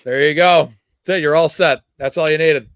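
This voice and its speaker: A man's voice: on the high side, steady tone, normal speed, a bit bright, clear, resonant tone, dry recording, clean studio sound. A man guest in a casual livestream chat, talking in a supportive, easygoing way.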